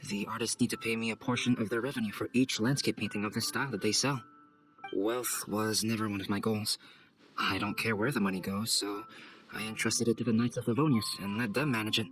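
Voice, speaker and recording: A man voicing a calm, reserved young artist and scholar in a video game, speaking in a measured, formal, composed way. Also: on the low side, close to an everyday voice. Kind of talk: game cutscene voice acting.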